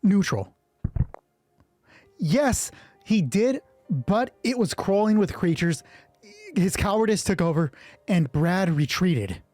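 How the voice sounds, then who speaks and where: Guy running a solo rpg, husky voice, roomy echo, thinks out loud and swears a lot. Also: high voice, animated, slow, long pauses, soft background music. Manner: casual, heavy swearing, thinks aloud. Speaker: solo roleplay player and narrator. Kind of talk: improvised tabletop game narration.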